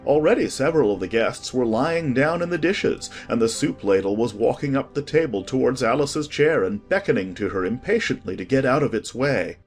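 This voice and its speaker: A man narrating a scripted storybook in an expressive, deliberate way. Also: mid-range voice. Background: music.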